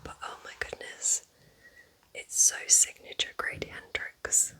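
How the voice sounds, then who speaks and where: Casting: female ASMR presenter, constant airy whisper, gentle informal delivery in soft short phrases. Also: a little slow, poor audio. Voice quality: breathy unvoiced whisper. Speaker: ASMR creator presenting book recommendations. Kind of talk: whispered ASMR, casual book chat.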